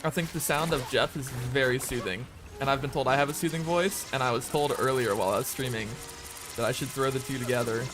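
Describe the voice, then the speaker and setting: Young man, hushed and calm, mid-pitched, game music playing under him, talking to chat at night from home on a desk mic. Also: quick pace, poor audio. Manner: soft, calm, hushed talk. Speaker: streamer. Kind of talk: quiet casual gameplay chat.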